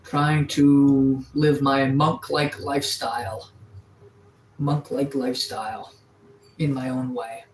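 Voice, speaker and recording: A man in his thirties from Atlantic Canada chats loosely on a live stream, drifting between thoughts with plenty of fillers. His voice sits at an ordinary middle pitch. The sound is roomy and echoey, with a faint ambient hum behind him. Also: slow pace. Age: 30s. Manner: relaxed, rambling, many fillers and restarts. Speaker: livestreamer. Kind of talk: casual live-stream chat reading viewer comments. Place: sunroom.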